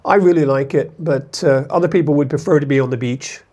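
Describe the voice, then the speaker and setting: Vlogger type: man, slightly higher voice, loose rambling delivery with frequent restarts. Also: fairly quick, a little mellow. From United States. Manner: rambling, conversational, self-correcting. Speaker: expat vlogger. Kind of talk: casual talk to camera.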